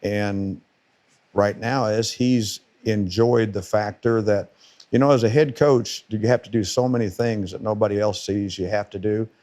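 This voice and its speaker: Emphatic low-voiced middle-aged coach. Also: male voice, fairly even intonation, normal speed, a little mellow. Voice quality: powerful voice. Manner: emphatic, repeats words for stress. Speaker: football defensive coordinator. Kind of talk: casual podcast conversation.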